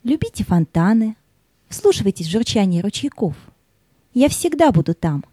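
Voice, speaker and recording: A woman playing a gentle, loving female spirit of water in an audio play. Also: mid-range voice, big pitch swings, normal speed, breathy edge, no room sound. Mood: tender farewell.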